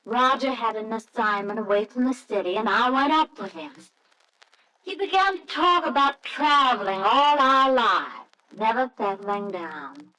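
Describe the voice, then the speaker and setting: Earnest young wife, high-voiced, unhurried. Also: female voice, animated, sharp tone. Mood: wistful, reflective. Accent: American. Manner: hesitant, halting, tender. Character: earnest, anxious young American wife, wistfully recalling her marriage. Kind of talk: old-time radio drama acting with narration. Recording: faintly noisy old radio recording.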